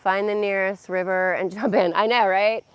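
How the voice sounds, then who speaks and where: A middle-aged woman with a hoarse, worn voice, chatting casually to a phone camera while walking outdoors by a pond, rambling with many fillers. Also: medium pitch, fairly even intonation, normal speed, no room sound. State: hoarse, losing her voice. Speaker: vlogger and yoga teacher. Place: outdoors on a nature walk by a pond. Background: crickets and insects outdoors.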